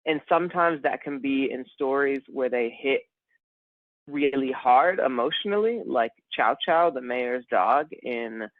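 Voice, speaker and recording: A man co-hosting a casual podcast, rambling and animated, calling his friend bro and man. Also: medium pitch, unhurried, dry recording. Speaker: podcast co-host. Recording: dry phone-like sound.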